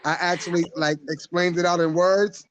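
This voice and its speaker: A man's voice: high voice, level tone, moderate pace, smooth, no echo, lo-fi sound. A man from New York hosting a casual live-stream podcast, rambling and interrupting himself, with frequent laughs.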